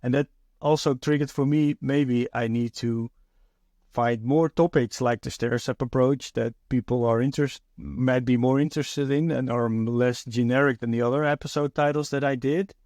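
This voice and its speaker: A man's voice: medium pitch, steady tone, normal speed, darkish tone, dry recording. A man from the Netherlands with a Dutch accent, hosting his own podcast in a thoughtful, hesitant way with many fillers and restarts. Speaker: podcast host. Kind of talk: solo podcast monologue.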